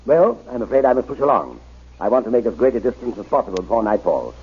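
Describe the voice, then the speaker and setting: Resolute reverend, husky voice, earnest formal phrasing, swooping intonation, vintage radio drama, narrow muffled sound. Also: male voice, mid-range voice, sing-song, fast talker. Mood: fatherly, hearty. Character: pious, resolute older missionary reverend, fatherly. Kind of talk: 1930s radio adventure serial acting. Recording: muffled old radio broadcast recording.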